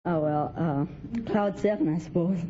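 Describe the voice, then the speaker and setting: A man's voice: on the high side, normal speed, mellow. A thirteen-year-old boy from New York City giving hesitant, polite, bashful answers as a contestant. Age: 13, young teenager. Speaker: quiz show contestant. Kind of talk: halting interview answers. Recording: noisy, dull vintage radio recording. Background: heavy noise.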